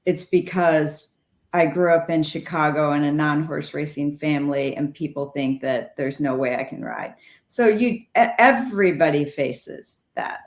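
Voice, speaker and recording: Middle-aged woman guest, lively storyteller, echoey room. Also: deep voice, unhurried. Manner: animated anecdotes with quoted dialogue. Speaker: guest, former jockey. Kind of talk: storytelling interview.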